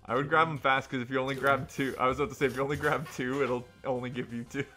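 A man's voice: mid-range voice, steady tone, quick pace, pushed and bright, soft background music. A man hosting casual game commentary with joking, teasing banter. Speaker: gaming show host.

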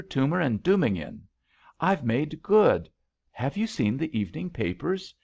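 An impetuous young man in love, acted out by a male reader in a storybook romance. He speaks fervently, with raised, swooping pitch and a creaky edge. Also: a little mellow, dull audio. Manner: fervent, emphatic declarations.

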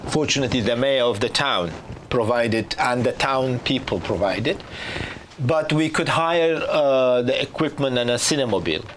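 A man's voice: medium pitch, normal speed, slight background noise. A man in his fifties, a filmmaker guest, answering students' questions and explaining at length with many restarts. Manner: animated, gesturing, explaining with restarts. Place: small studio set with sofa. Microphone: lapel.

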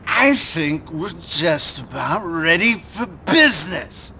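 Shifty grifter Santa, game cutscene: high, forceful, blustering, greedy. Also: male voice, sing-song, slow, a little hiss or hum, exaggerated voice. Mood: scheming. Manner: blustering, stammering when evasive. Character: shifty, greedy grown man in Santa suit, gambler. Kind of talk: video game cutscene acting.

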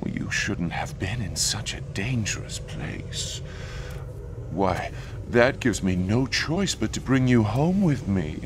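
An actor playing a suave, refined and possessive demon lord in a video game, urbane and languid. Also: male voice, fairly low pitch, animated, slow pace, noisy background. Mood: smug tenderness. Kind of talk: video game villain acting. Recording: loud game ambience behind.

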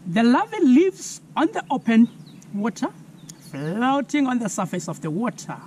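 A man in his thirties with a South African accent, giving a slow, clear science lesson for children outdoors, asking viewers to spell words. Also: very high voice, wildly swooping pitch, a little mellow, a little hiss or hum. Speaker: environmental educator. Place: outdoors on a lawn.

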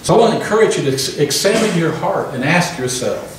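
A man in his sixties preaching a sermon into a handheld microphone in a small church hall, pausing for the congregation's amens. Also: on the high side, expressive, background noise. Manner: emphatic preaching with call-and-response amens. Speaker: pastor. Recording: echoey room from a distant camera.

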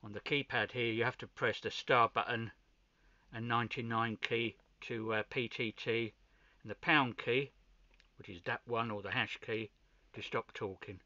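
A man giving an informal hands-on video demonstration, pausing between short phrases, with muffled sound. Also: medium pitch, steady tone, sharp tone, dry recording. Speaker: amateur radio hobbyist presenter.

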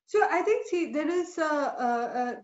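A woman's voice: high-pitched, expressive, slow pace. A woman from India, a company vice president, answering interview questions at home over a video call, reflective and full of "you know" fillers. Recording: roomy, dull lo-fi video call audio.

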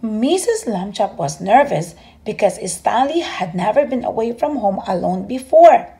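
Lady reading a kids bedtime story, soft mellow tone, takes it slow. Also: mid-range voice, big pitch swings, gravelly. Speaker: storyteller reading a children's book aloud. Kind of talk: expressive bedtime read-aloud narration.

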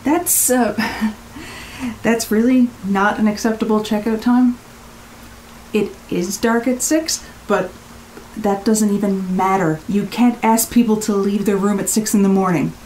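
Actress as a blunt, exasperated woman, slow delivery. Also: mid-range voice, fairly even intonation, darkish tone, a little hiss or hum, lightly acted, poor audio. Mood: incredulous, firm. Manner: exasperated, emphatic, clipped phrases. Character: exasperated, blunt, assertive adult rental guest. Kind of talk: naturalistic audio drama acting.

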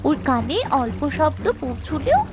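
A woman's voice: high-pitched, very animated, normal speed, music in the background. A woman voicing a brave human child in a fairy-tale cartoon, speaking in an earnest, urgent way. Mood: cautioning.

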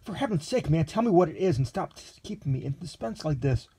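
A naive, excitable young man gushes in breathless, climbing exclamations, put on by a male storyteller. The voice sits high and swoops up at every surprise. A husky, gravelly grain runs underneath. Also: a little fast. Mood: bewildered.

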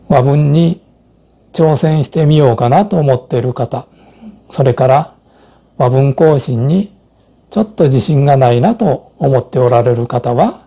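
A man in his sixties giving measured, explanatory narration from a script as an instructor. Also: medium pitch, dark tone, slightly rough.

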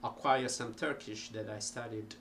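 A middle-aged man with an Italian accent, talking casually to a webcam about learning languages, slow and full of ums and restarts. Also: on the low side, steady tone, a bit bright, a little hiss or hum. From Italy. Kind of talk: casual talk to camera. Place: cluttered home music room.

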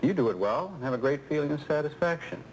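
Seasoned American male coworker, folksy and persuasive, very lively intonation, faintly noisy old training-film sound. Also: a little high, fast. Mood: earnest, coaxing. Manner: colloquial, persuasive, rhetorical questions. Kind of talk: scripted 1970s training-film acting.

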